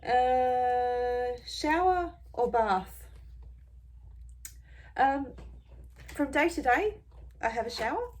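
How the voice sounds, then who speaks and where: An Australian woman in her forties answering tag questions in a casual, rambling way, with many ums and pauses. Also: sits high, expressive. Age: 40s. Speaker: beauty vlogger.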